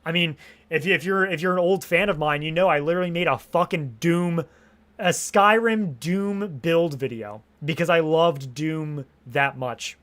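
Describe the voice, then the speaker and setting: A young man chatting casually on a live stream, rambling and restarting his sentences, swearing freely, and a little hoarse after hours of talking. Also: on the high side, moderate pace, fairly bright tone. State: slightly hoarse from long streaming.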